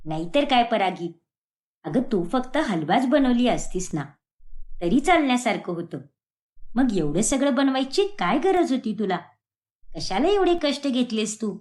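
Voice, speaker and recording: A woman plays a doting older mother-in-law from a cartoon moral tale. She speaks with animated swings of pitch, affectionate and gently scolding, full of approval for her family. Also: a little slow. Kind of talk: cartoon moral-story acting.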